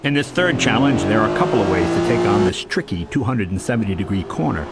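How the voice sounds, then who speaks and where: A man giving scripted, instructional narration as a driving tutor, clearly enunciated, with racing car noise behind him. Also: fairly low pitch, lively intonation, fast talker, loud music behind the voice. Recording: loud race car engine noise. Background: racing game car sounds.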